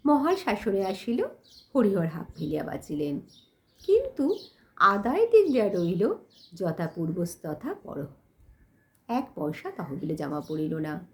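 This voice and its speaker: A woman's voice: lowish voice, big pitch swings, unhurried, long pauses. A woman narrating a literary audio story expressively, in formal diction.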